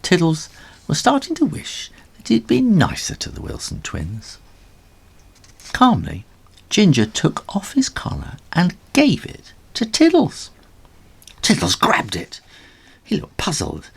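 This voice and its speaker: A man reading a children's story aloud in a dramatic, animated storybook style. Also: sits high, slow, gravelly, slightly rough. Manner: dramatic, with acted sound effects.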